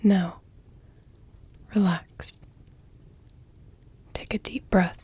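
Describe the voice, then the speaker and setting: A woman voicing an elegant, flirtatious vampire in an intimate audio roleplay, with a hushed, breathy, deliberate and formal delivery. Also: mid-range voice, steady tone, full character voice. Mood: soothing, hungry. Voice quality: breathy, hushed, often near whisper. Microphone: close, dry microphone.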